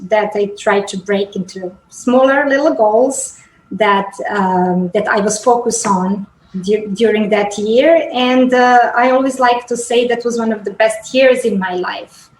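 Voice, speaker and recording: A woman's voice: a little high, measured pace. Serbian woman guest in an echoey room. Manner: hesitant, with frequent ums and restarts. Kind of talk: casual interview conversation.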